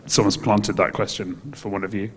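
A man moderates a conference panel in a low voice and asks his questions with dry humor. His handheld mic picks up a long reverb from the large hall. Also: level tone, a little fast, a little hiss or hum.

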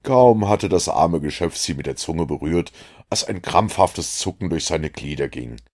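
A man reading a detective novel aloud as an audiobook, in steady storytelling narration. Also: a bass voice, measured pace. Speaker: audiobook reader.

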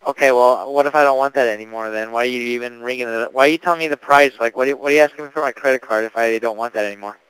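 A man's voice: mid-range voice, very fast, hardly any pauses, fairly bright tone, smooth, dry recording. A young man making a casual prank phone call, asking slangy questions like a mock-earnest customer. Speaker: prank caller.

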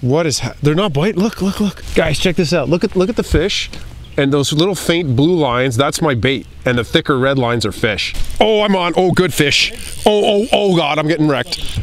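A man's voice: on the high side, expressive, normal speed, a little hiss or hum. A man in his thirties hosting a fishing vlog with casual, playful, exclamatory commentary, recorded outdoors on a small boat.

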